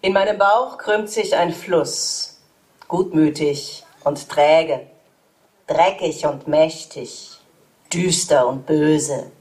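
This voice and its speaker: A woman reading German translations of poems aloud in a measured, even way. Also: sits low, rough recording.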